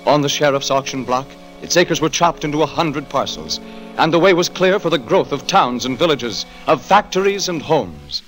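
Old timey radio narrator guy, highish voice, very animated and dramatic, bit of music under it. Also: normal speed, low-quality recording. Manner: dramatic, declamatory. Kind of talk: 1940s radio scripted narration.

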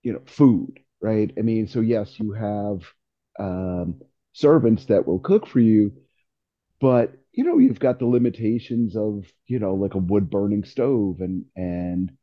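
A man in his sixties chatting casually in a group discussion, rambling and thinking aloud in an animated way. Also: on the low side, a little slow, muffled recording. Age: 60s. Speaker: discussion participant.

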